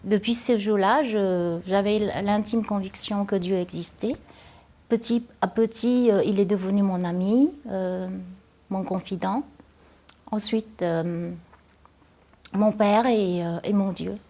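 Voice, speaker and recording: A young woman from Vietnam tells her story in a hesitant, halting way, often restarting her sentences. Her voice is mellow and soft, at a middle pitch. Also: steady tone. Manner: hesitant, many fillers and restarts. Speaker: interviewee. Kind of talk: personal testimony.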